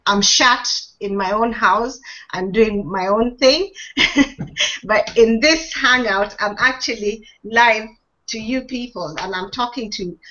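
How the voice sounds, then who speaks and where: A woman's voice: mid-range voice, a bit bright. A woman speaking hesitantly with many restarts on a live video call, sharing her experience. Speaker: online marketing member. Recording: dull lo-fi video call audio.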